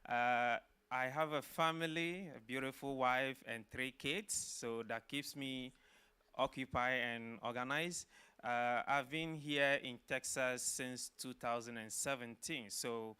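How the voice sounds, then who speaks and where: A middle-aged man from Ghana, a professor answering interview questions in a measured, explanatory way with some filler uhs. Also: medium pitch, bright, forceful tone, clear, resonant tone. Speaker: guest, social work professor.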